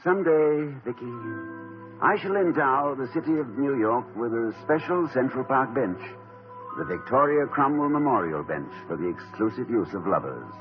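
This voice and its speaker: An actor doing a genteel, playful college president in a vintage radio play, a gentle daydreamer who chuckles softly. His voice is lively and swoops up and down. Music plays clearly under the dull, muffled old broadcast sound. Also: medium pitch, normal speed, lightly acted. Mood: tender. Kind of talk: 1950s radio drama acting.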